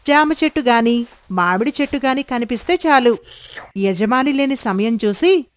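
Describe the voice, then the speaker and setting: A woman narrating a scripted moral story as the storyteller. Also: sits high.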